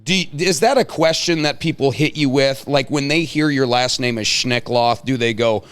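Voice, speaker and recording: A man's voice: medium pitch, normal speed, a bit bright. A middle-aged American man hosting a casual, chatty interview with folksy, joking banter. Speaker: show host interviewing guests.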